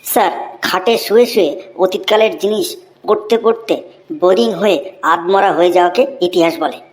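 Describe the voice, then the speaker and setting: A woman's voice: medium pitch, fairly even intonation, a little fast, lightly acted. A woman voicing a cheeky schoolboy who recites a long essay by rote in a cartoon. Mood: recitation. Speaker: narrator and channel host. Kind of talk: cartoon voice acting.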